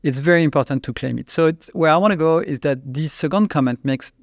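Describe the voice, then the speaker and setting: A man with a French accent, a company CEO, answering interview questions in a halting, searching way with frequent restarts. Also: fairly high pitch, no echo. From France. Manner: halting, restarts often, searches for English words.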